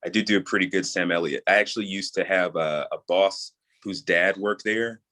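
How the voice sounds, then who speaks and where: A man's voice: low-pitched, steady tone, a little fast, pushed and bright. A man from New York with a soothing tone, chatting casually as an interview guest and explaining things in an easygoing way. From New York, USA. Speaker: guest, audio drama creator and voice actor.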